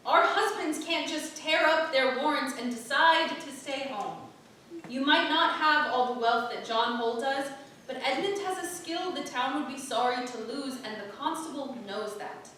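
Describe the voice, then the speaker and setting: An actress playing a blunt, aggrieved working wife of an early modern town in a staged play reading. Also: female voice, high-pitched, normal speed, bright, forceful tone. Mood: pointed. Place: playhouse stage. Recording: echoey theatre from far off, faint noise.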